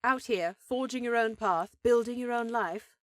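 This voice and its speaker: A woman's voice: on the high side, moderate pace, a bit bright, no room sound, lightly acted. A woman playing a powerful elven sorceress in a fantasy audio drama, an encouraging sister who talks in an earnest, affectionate way.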